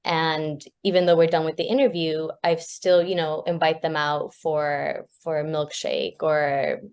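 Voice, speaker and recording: A woman's voice: low-pitched, unhurried, a little mellow, smooth. A woman who is a graduate student researcher, talking casually on a video call with plenty of fillers and easy laughs. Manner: chatty, laughs often, many fillers. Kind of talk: casual panel chat.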